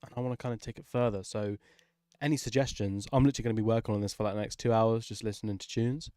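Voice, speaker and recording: A man's voice: lowish voice, steady tone, rapid-fire, warm tone, no room sound, studio quality. A man casually chatting on a livestream while he works, informal and sweary, often muttering to himself. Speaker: livestream host, music maker.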